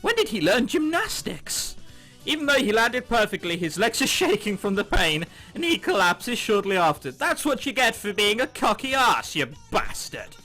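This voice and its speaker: A man's voice: high-pitched, sing-song, normal speed, sharp tone. A man reading a visual novel aloud, with sarcastic asides and swearing. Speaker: let's play host. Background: music.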